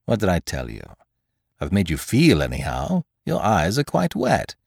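Guy putting on a smug playful thief from an old novel, mocking tone, pitch jumping all over, dry recording. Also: wildly swooping pitch, normal speed, gravelly, a little mellow, husky, no room sound, full character voice. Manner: teasing, theatrical swings in pitch. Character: cocky male gentleman thief, mocking and playful. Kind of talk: audiobook character reading.

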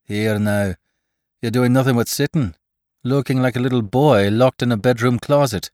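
A man's voice: mid-range voice, normal speed, a little mellow, no room sound, clean studio sound, pitched above the performer's own voice, natural voice. A man voicing a big, burly, joking adventurer with a boisterous, bantering manner in a dramatised story. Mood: playfully dramatic. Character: big burly Irishman with a gun, joking, blustering in danger. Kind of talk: dramatised audiobook character voice.

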